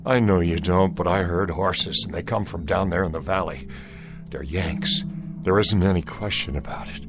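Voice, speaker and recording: An actor playing a stern, resolute Confederate cavalry soldier in a radio drama, with a folksy, commanding Southern US accent. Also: male voice, low voice, moderate pace, music in the background. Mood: wary, certain.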